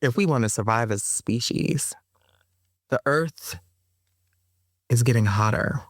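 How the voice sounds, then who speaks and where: A woman who is a poet and interview guest, talking in an emphatic, expressive way. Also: rumbling low, a little slow, no echo, studio quality. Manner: emphatic, laughs often while talking. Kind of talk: conversational interview, reciting own poem.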